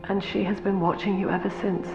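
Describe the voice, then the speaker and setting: An actress playing a cold, cerebral middle-aged cult leader and mother in a video game, speaking in measured, solemn, precise oratory. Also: mid-range voice, little pitch movement, dull audio, music in the background, lightly acted. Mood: bitter. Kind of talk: dramatic video game monologue.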